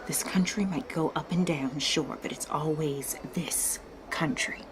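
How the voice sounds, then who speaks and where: An actress playing a discreet, loyal woman secretly helping out in an audio drama, speaking carefully and precisely in a hushed way. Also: low-pitched, measured pace, in a whisper. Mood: hushed, earnest. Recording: clear background noise and ambience.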